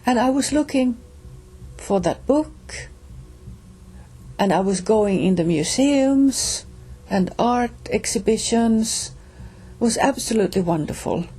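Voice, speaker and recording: Finnish lady telling her own story, slow and halting, soft mellow voice a bit breathy. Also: a little high, expressive. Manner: slow, halting, with pauses and restarts. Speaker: reader and storyteller. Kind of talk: personal storytelling.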